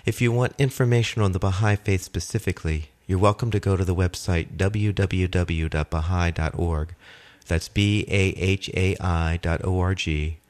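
A man hosting a radio program, reading a calm scripted introduction. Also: low voice. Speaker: radio host.

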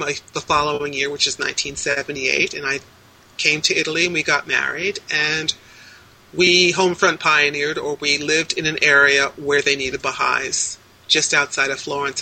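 Woman, sixties, very bright sharp voice, measured articulate answers, video call. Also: deep voice, flat delivery, gravelly, poor audio. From United States. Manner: measured and articulate, listing details in long strings. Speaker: interviewee. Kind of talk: casual interview answers.

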